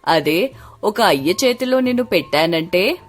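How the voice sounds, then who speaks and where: A woman's voice: on the low side, lively intonation, a little slow, soft background music. A woman voicing a poor, weary, practical mother, plaintive and persuasive, in a moral story. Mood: plaintive persuasion.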